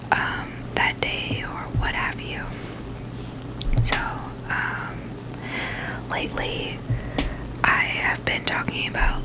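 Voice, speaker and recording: A woman hosts an ASMR review in a steady whisper. She speaks very slowly and informally, with a halting, hesitant delivery. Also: rough recording. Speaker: video host reviewing sneakers.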